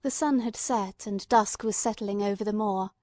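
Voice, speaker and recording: A woman's voice: medium pitch, normal speed, warm tone, dry recording. A woman reading scripted literary narration as an audiobook narrator.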